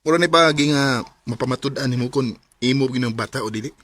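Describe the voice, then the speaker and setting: An actor playing a blunt young buddy who sows doubt in a radio drama, with a Cebuano accent. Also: male voice, mid-range voice, brisk, fairly bright tone, lightly acted. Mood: insistent, suspicious. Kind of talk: Filipino radio drama acting.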